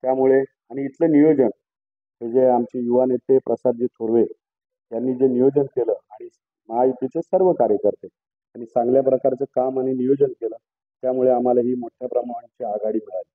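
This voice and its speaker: A middle-aged man with a Marathi accent from Maharashtra giving a halting interview statement as a local party worker, repeating and rephrasing as he goes. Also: medium pitch, steady tone, no echo. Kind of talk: interview statement, political praise. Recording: dull, phone-like narrow sound.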